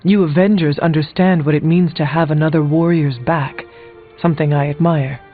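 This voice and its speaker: A man playing an earnest warrior hero in a video game, speaking in formal, measured phrases. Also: on the high side, fairly even intonation, narrow, tinny audio, soft background music, lightly acted. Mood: earnest, steady.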